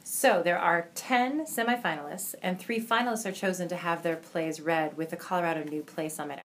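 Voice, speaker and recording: A woman's voice: fairly low pitch, normal speed, a bit bright. A woman explaining things informally in an interview, as the organizer of a program.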